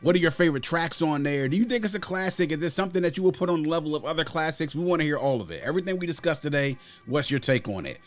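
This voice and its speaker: A man's voice: on the high side, expressive, rapid-fire, hardly any pauses. A man hosting a music review podcast, giving opinions in an emphatic, conversational way and repeating phrases for emphasis. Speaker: podcast host.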